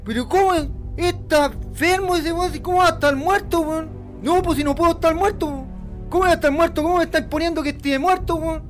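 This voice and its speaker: Actor as young, high-voiced slangy Chilean lad. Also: male voice, fairly quick, soft background music. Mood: indignant. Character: drunk, slangy twenty-year-old construction worker from a shantytown. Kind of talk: amateur audio drama.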